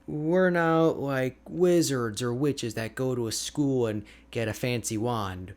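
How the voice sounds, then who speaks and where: A man playing an energetic, boastful, chatty ice mage hermit in an ASMR roleplay, with a playful, expressive, conversational delivery. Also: mid-range voice, takes it slowly. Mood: chatty, opinionated. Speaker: audio roleplay voice actor.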